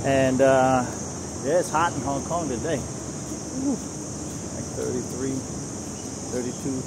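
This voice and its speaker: A man in his forties speaks casually into an earbud mic as he walks through a park after the gym, rambling and leaning on "you know" as he urges the listener to keep moving forward. His voice sits at an ordinary middle pitch. Loud outdoor noise from insects and passing traffic fills the recording. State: a little winded after a gym workout. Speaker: vlogger. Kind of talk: casual motivational walk-and-talk. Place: outdoors in a leafy city park. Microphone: earbud headset mic, phone held low at arm's length.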